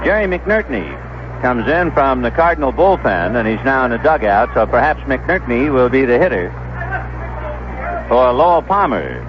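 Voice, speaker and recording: A man's voice: medium pitch, very animated, moderate pace, lo-fi sound, crowd noise, noisy background. A man calling baseball play-by-play as a radio announcer, on an old 1970s broadcast. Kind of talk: radio sports commentary.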